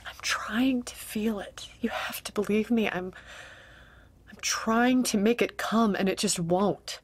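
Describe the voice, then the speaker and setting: A woman's voice: medium pitch, a little slow, breathy edge. An actress playing an anxious wife who pleads with her husband in an audio drama. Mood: whispered, distressed. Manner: emotional, pleading, nervous laughs. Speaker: actor.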